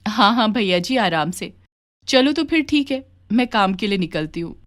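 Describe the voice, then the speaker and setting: A woman's voice: mid-range voice, lively intonation, normal speed, lightly acted. A woman voicing a kindly, hardworking village mother in a children's story, polite and affectionate. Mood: eager, polite. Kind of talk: acted dialogue in a children's moral story.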